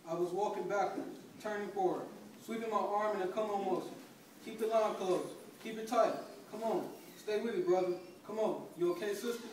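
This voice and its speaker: Young guy acting urgent protest marshal, protective, high male voice, big echo, distant. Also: steady tone, normal speed, close to an everyday voice. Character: urgent, protective march marshal rallying the line. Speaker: student performer in a dramatic reading. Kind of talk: dramatic reading with urgent calls. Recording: distant, very echoey hall, faint noise.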